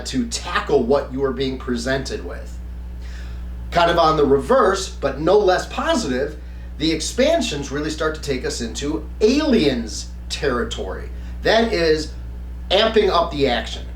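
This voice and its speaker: Give the voice of a man presenting a review to viewers, pitched a little high. He explains with enthusiasm, pausing and stressing words as he goes. The sound is very roomy and a bit off the mic, with faint noise underneath. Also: recorded from a distance. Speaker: host of a game review channel. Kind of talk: semi-scripted review talk to viewers.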